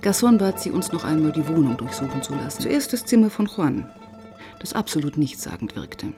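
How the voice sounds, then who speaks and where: An actress playing a determined police inspector who narrates and questions soberly and precisely in a radio crime drama. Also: female voice, low voice, big pitch swings, brisk, warm tone. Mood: sober narrating. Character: determined female police inspector narrating her own case. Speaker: narrator and lead detective. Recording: music behind the voice.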